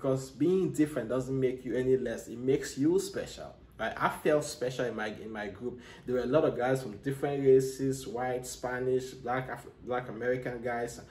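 A man from Cameroon talks to his podcast listeners in an earnest, motivational tone, often restarting his sentences. His voice sits at a medium pitch and carries a noticeable room echo. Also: warm tone. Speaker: podcast host. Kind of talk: solo podcast monologue.

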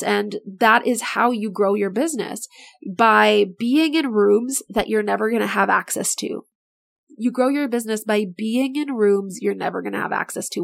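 Raspy, upbeat woman podcast host. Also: mid-range voice. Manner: enthusiastic, motivational, chatty. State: voice getting raspy, not feeling great. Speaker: podcast host and business owner. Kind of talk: casual solo podcast talk.

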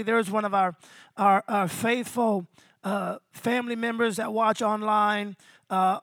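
Pastor, 50, hoarse and losing his voice, high-pitched, dry close sound, energetic preaching. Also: no room sound. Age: 50s. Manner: energetic, calls out to congregation. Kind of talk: sermon. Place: small venue with congregation.

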